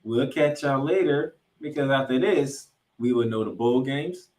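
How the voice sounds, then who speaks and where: A man's voice: medium pitch, unhurried, darkish tone. An American man in an echoey room hosts a sports show. He talks through football matchups in an emphatic, colloquial way, with a mid-range voice. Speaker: sports podcast host. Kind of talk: animated football recap and preview. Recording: roomy, echoing sound.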